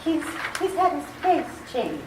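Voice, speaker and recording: A woman's voice: high-pitched, very animated, slow pace, heavily acted. A woman playing a sweet, timid elderly aunt in a radio play, hesitant and polite. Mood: explaining sweetly. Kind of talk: radio theater acting. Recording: echoey room, faint noise.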